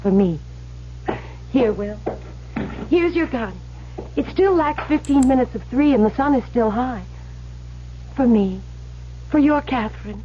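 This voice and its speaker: A devoted young sweetheart in an old radio play. She is ardent and encouraging, with a highish, swooping theatrical voice on a dulled broadcast recording. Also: lively intonation, unhurried, pauses often. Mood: ardent, urging. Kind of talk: old-time radio drama acting. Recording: muffled, lightly noisy old radio broadcast.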